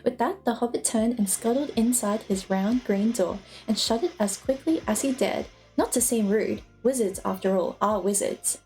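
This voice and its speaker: High, mellow woman narrating a storybook. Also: normal speed, few pauses, rough recording. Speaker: streamer, narrator. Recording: music playing behind the voice.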